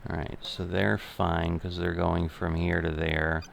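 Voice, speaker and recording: A middle-aged man giving unscripted simulation game commentary, thinking aloud in a methodical, halting way. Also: low-pitched, little pitch movement, vocal fry, slight background noise. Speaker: gaming video host.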